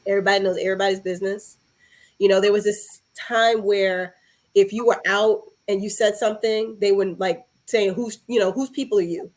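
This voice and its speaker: A woman's voice: medium pitch, fairly even intonation, brisk, darkish tone. A woman from Harlem in New York City, talking casually and reflectively as a podcast guest.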